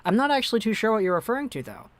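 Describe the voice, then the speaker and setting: A young man with a fairly high voice hosts a laid-back hockey live stream. He chats easily, reading out viewer messages and answering them. The sound is dry and close, with no room echo. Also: sing-song, very fast. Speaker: hockey livestream host. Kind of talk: casual live-stream chat.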